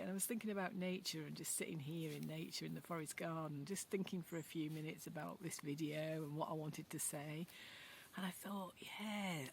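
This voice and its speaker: A woman's voice: on the low side, a little hiss or hum. A middle-aged woman with a Yorkshire accent, chatting casually and reflectively to camera outdoors in a forest garden, musing aloud with loose, rambling hesitations. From Yorkshire, England. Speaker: vlogger. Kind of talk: casual unscripted talk to camera.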